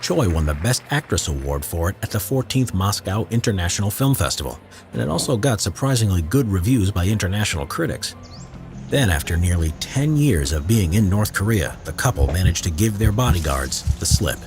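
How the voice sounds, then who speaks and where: A man narrating a history documentary in a measured, expressive, scripted storytelling style. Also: deep voice. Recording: narration with faint music bed.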